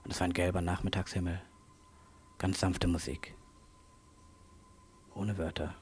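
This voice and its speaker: A man's voice: sits low, flat delivery, measured pace, a little hiss or hum. A man reminiscing in short, reflective phrases in a spoken-word radio monologue. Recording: loud music over the voice. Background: loud music.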